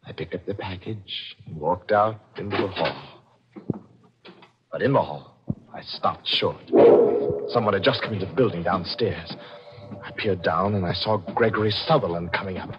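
A man's voice: low voice, moderate pace, long pauses, heavy background noise, natural voice. An actor playing a cunning, cold-blooded man who narrates his own murder scheme in tense, dramatic 1940s radio style. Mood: hushed, furtive. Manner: tense dramatic swings from hushed to frantic. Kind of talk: 1940s radio mystery acting. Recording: muffled old radio broadcast, music behind.

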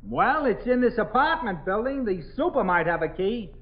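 Police sergeant, 1950s radio drama: raised high voice, plain-spoken, reassuring and firm, slightly muffled vintage sound. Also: male voice, big pitch swings, moderate pace. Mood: calm, reassuring. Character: steady, reassuring police sergeant calling to trapped woman. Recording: dull 1950s radio recording.

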